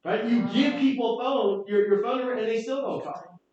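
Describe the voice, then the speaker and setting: Upbeat high-voiced man in an echoey room. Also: normal speed, darkish tone. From South Korea. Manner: chatty and upbeat, with fillers and repeats. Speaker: guest speaker. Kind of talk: casual talk to a team meeting. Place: office meeting room with Zoom audience. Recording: echoey meeting room, mic far off, dull sound.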